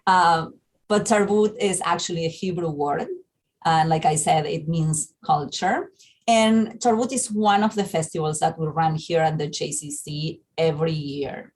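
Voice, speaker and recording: A woman's voice: low-pitched, a little slow, a little mellow. A woman from Argentina with an Argentine Spanish accent, a programming manager explaining a festival in an interview, with many fillers and restarts.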